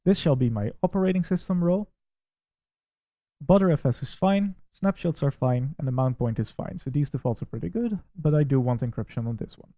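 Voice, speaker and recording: A man from the Netherlands with a Dutch accent, walking through a software installer step by step and critiquing it in a plain, methodical way. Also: on the high side, expressive, normal speed, dry recording, dull audio. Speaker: tech reviewer. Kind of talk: screen-recorded software walkthrough.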